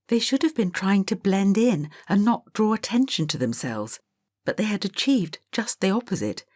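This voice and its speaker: A woman narrating a children's audiobook in a calm, measured storytelling manner. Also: mid-range voice, no room sound, clean studio sound.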